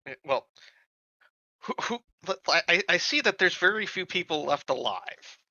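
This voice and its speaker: Very bright, forceful man playing a game. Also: high-pitched, lively intonation, normal speed, no room sound, lo-fi sound. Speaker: player in a tabletop roleplaying game. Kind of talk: casual game chat.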